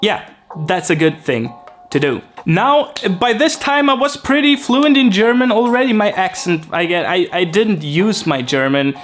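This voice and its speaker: Young man, fairly high voice, slow and rambling, soft guitar music behind. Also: expressive. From Sweden. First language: Swedish. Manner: unhurried, rambling, many fillers and restarts. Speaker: YouTuber. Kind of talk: casual vlog talk to camera.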